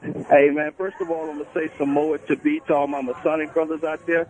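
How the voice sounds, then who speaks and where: A man's voice: on the high side, fairly even intonation, a little fast, darkish tone, slight background noise, rough recording. A man calling in as a truck driver, chatting in a friendly, casual way. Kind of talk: casual phone call.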